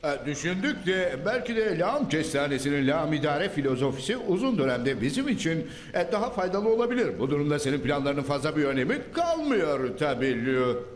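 A man's voice: a little high, sing-song, fairly quick, hardly any pauses, a little hiss or hum. A hesitant mud henchman. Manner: ponderous, hesitant. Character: mud-heap sewer henchman, slow-thinking. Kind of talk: dubbed cartoon acting. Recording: echoey reverberant space.

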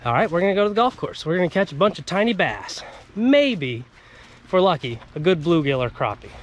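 A man's voice: sits high, sing-song, fairly quick. A man in his thirties chatting casually for an outdoor fishing vlog, laid-back and given to swearing. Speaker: vlog host, angler. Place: outdoors by river, woods and pond. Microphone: action camera on body or at arm's length. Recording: outdoor action-camera sound with faint ambient noise.

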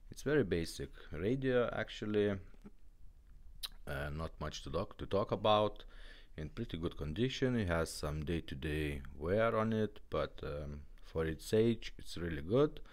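A man giving a casual, informal product demonstration in non-native English phrasing. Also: deep voice. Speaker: presenter demonstrating restored car radios.

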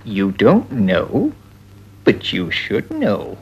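A man's voice: fairly low pitch, wildly swooping pitch, drawn-out words, slightly rough, heavily acted, low-quality recording. A man voicing a proud, boastful potato man who calls himself king, with a pompous sing-song delivery. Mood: lecturing. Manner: pompous, self-admiring, sing-song rhymes.

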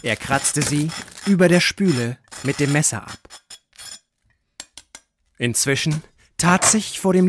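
Slightly high-voiced male narrator. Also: slight background noise. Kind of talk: scripted literary narration.

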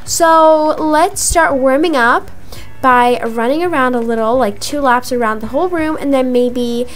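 A woman's voice: high voice, measured pace. A young woman playing a chatty teenage schoolgirl in a casual voiced video game roleplay. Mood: chatty, planning. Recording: music behind the voice. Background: background music.

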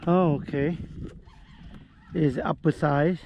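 A middle-aged man chatting excitedly while fishing from a small boat outdoors, whooping and laughing at each catch, recorded on an action camera. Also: fairly high pitch, slow pace, background noise. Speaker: fishing vlogger.

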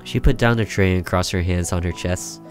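A man's voice: low voice, moderate pace, faint music behind. A man reading a horror visual novel aloud as a gaming video narration, in a storytelling style.

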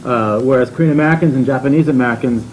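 A man's voice: mid-range voice, very fast, a little mellow, slight background noise, low-quality recording. A man in his thirties speaking thoughtfully as a panelist in a seminar room. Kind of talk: panel talk.

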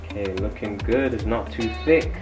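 A man walking viewers through a home cooking demonstration in a relaxed, explaining manner. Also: lowish voice, normal speed. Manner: relaxed, explaining each step. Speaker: home cook, family vlogger. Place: home kitchen. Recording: echoey room with background music.

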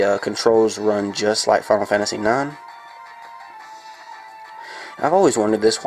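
Casual gameplay reviewer, male, congested, gravelly low voice, rambling with lots of fillers, faint game music underneath. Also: low-quality recording. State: congested from a sinus infection.

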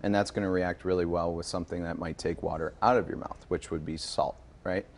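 A man's voice: low-pitched, steady tone, fairly quick, a little hiss or hum. A man in his thirties, a guest in a TV studio, explaining things informally like a sommelier and often checking with a "right?". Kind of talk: casual live TV wine demonstration. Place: TV news studio.

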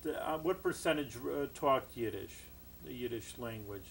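A man's voice: mid-range voice, expressive, normal speed, gravelly, slight background noise. A middle-aged man, a rabbi hosting a TV studio interview, speaking conversationally and searching for words. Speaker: rabbi, host.

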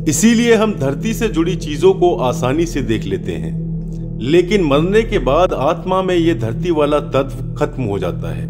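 Dramatic, animated male horror narrator. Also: medium pitch. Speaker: host of a horror story podcast. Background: background music.

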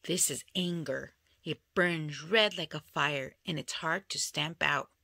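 A woman reading a children's picture book aloud in an expressive storytelling style. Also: sits low, measured pace, gravelly. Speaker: storybook reader.

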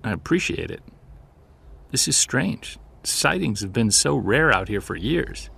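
A man acting a seasoned, driven investigator in an audio drama, earnest and measured, with a gravelly, husky voice. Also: medium pitch, big pitch swings, sharp tone, heavily acted. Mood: curious. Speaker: voice actor. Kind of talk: naturalistic audio drama dialogue.

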